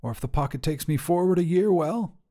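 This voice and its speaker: A man's voice: fairly high pitch, lively intonation, a little fast, dark tone, pitched above the performer's own voice, lightly acted. A man voicing a weary, defiant middle-aged mother, drily matter-of-fact about her terminal illness, in a storybook reading. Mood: matter-of-fact, resigned.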